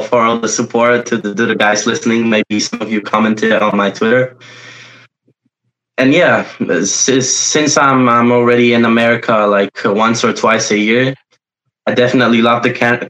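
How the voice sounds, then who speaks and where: A man's voice: mid-range voice, a bit bright, poor audio. A young man whose first language is Polish, answering interview questions in a hesitant way and often searching for words. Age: young adult. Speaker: footballer guest.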